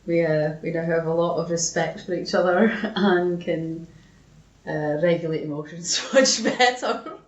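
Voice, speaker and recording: A woman's voice: lowish voice, a little slow. A woman co-hosting a podcast, chatting casually and reading old messages aloud. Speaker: podcast co-host. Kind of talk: casual chat and dramatic reading of old arguments. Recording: dull, echoey room sound.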